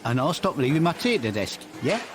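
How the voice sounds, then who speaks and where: A man's voice: on the high side, sing-song, fast, a bit bright, slight background noise. An actor playing a sleazy, crude male orderly who teases and makes lewd jokes. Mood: smug leering. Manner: teasing, lewd, smug.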